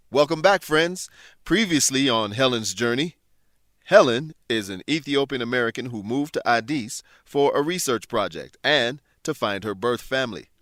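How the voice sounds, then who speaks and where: A man presents an educational radio drama as its announcer. His medium-pitched voice is clear and welcoming, reading scripted narration in a dry studio sound. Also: fairly bright tone, no echo.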